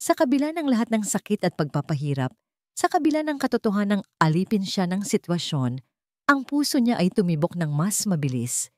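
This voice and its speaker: A woman's voice: mid-range voice, sing-song, a little fast, no room sound, studio quality. A woman storyteller reading a scripted romance story in a dramatic narrating style.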